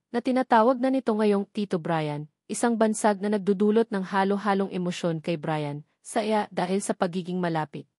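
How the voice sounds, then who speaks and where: A woman's voice: mid-range voice, darkish tone, no echo, clean studio sound. Filipino woman narrating a romance drama. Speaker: story narrator.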